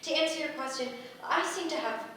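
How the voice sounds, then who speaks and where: A woman acts a literal-minded, analytical water spirit in a stage play, speaking in stiff, clinical phrases. The voice is on the high side and sounds far off in a very reverberant room. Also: a little fast, pushed and bright. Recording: echoey room from far off, faint noise.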